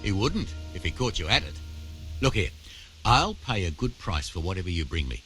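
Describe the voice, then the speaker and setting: Deep-voiced wry medieval tanner. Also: male voice, big pitch swings, moderate pace, a bit bright, faint music behind. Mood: sly, businesslike. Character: wry, practical male medieval tanner offering shady hunting jobs. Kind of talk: video game character dialogue.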